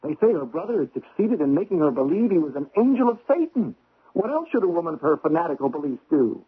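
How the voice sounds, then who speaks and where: An actor playing an impulsive, stubborn investigator, agitated and insistent in a theatrical radio drama style. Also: male voice, a little high, sing-song, fast talker, husky. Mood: insistent, heated. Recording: dull 1970s radio drama recording.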